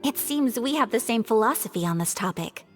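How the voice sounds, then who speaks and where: A refined young swordswoman played by an actress speaks in a high, gentle voice. She is courteous and earnest in an anime game style, with a long reverb around her words. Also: animated, fairly quick, soft background music, natural voice. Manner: polite, formal, gentle.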